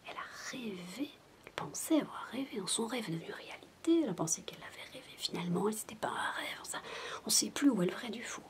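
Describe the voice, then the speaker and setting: A woman in a soft, breathy, mostly whispered ASMR voice, telling a bedtime story as a chatty, hesitant babysitter. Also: medium pitch, animated, fast. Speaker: ASMR roleplayer playing a babysitter. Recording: noisy homemade recording without binaural mic.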